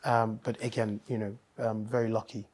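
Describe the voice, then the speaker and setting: A man from Nigeria, a guest in a casual interview, talking thoughtfully with many pauses and restarts. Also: fairly low pitch, level tone, darkish tone.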